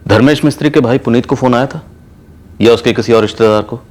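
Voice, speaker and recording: Actor as a serious male police investigator, mid-pitched. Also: quick pace, darkish tone, lightly acted. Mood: serious, probing. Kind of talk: Indian TV crime drama acting. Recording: dramatic music behind the voice.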